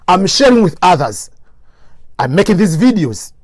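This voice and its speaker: Higher-voiced Tanzanian man, motivational speaker. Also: big pitch swings, normal speed, dry recording, clean studio sound. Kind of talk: motivational talk to camera.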